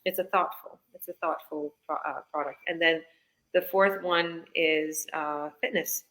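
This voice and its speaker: A woman's voice: low-pitched, steady tone, measured pace, a bit bright, rough recording. A woman business coach from British Columbia answering interview questions in a casual, chatty way, explaining eagerly. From British Columbia, Canada. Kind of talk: live online interview answers.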